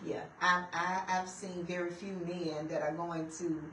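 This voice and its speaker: A woman's voice: fairly low pitch, flat delivery, unhurried, a bit bright, echoey room, distant mic, a little hiss or hum. A woman co-hosting a radio talk show, speaking casually with a sassy, emphatic manner.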